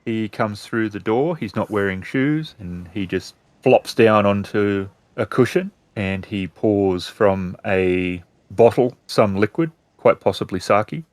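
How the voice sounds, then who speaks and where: A man acting as game master, narrating a tabletop roleplaying game in measured, piecemeal phrases. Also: on the low side, a little mellow, no echo.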